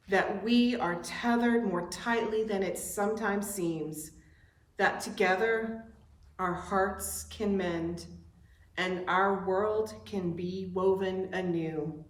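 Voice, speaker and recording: A woman reads an opening invocation slowly, letting each phrase settle before moving on. Her voice sits at an ordinary middle pitch, and the sanctuary around her rings with echo. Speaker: director of religious exploration leading worship. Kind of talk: slow, measured liturgical reading. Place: church sanctuary.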